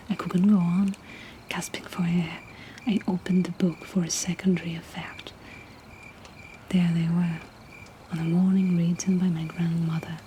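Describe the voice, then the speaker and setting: A woman reading poetry in a hushed, breathy whisper, with measured and deliberate delivery. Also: lowish voice, slight background noise, poor audio. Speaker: poetry reader and host.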